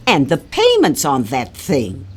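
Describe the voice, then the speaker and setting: A woman's voice: a bass voice, wildly swooping pitch, measured pace, husky, exaggerated voice. An actress playing a bitter older mother-in-law in an audio drama, sarcastic and nagging. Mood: scornful, accusing.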